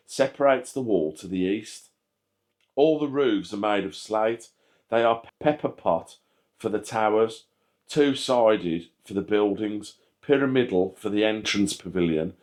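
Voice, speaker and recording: Low-voiced man reading scripted narration. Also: darkish tone. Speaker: channel host narrating a history video. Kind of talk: scripted narration, read aloud.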